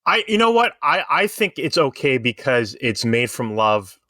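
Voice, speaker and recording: Man, bright forceful voice, dry close sound, podcast co-host, casual, opinionated. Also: mid-range voice, very animated, moderate pace, dry recording.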